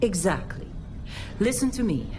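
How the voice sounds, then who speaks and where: A woman's voice: medium pitch, sing-song, moderate pace, noisy background, natural voice. An actress playing a seasoned female mining boss in a video game, commanding and dryly wry.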